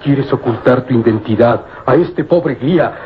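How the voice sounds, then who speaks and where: A man's voice: mid-range voice, moderate pace, slight background noise, natural voice. Fawning, trembling desert servant played by a man. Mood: awed, fearful. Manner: imploring, stammering, repetitive, florid oaths. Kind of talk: old radio serial acting. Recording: dull old radio serial recording.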